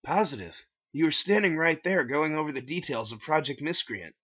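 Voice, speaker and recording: Knowing male cashier, voiced by a man: polite, assured, forceful, dull lo-fi recording. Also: a little high, lively intonation, moderate pace, pitched above the performer's own voice. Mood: knowing, obedient. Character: smirking, deferential male store cashier, knowing.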